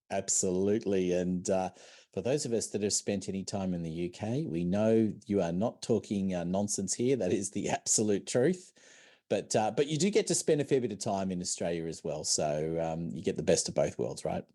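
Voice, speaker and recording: A man's voice: deep voice, moderate pace, dark tone. A man hosting a business podcast, asking loose, rambling questions in a casual way. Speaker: podcast host.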